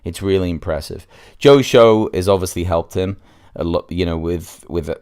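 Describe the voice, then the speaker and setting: Man, low voice, smooth and resonant, slight cold, casual podcast commentary, hesitant delivery. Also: normal speed, darkish tone. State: congested with a cold. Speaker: podcast host and reviewer.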